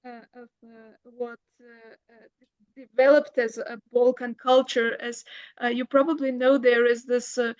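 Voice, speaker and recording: Woman, middle-aged, academic, hesitant, fillers, high voice, muffled. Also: level tone, unhurried, a little mellow, clean tone, dry recording. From Bulgaria. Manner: hesitant, many fillers and restarts. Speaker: guest lecturer. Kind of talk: academic Q&A answer.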